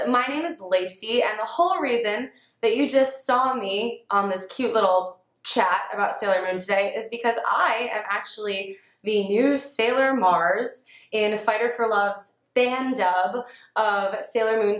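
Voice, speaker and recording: A young adult woman chatting casually about anime in an excitable, giggly way. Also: mid-range voice. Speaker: panelist, amateur fan-dub voice actress. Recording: echoey room, faint music underneath.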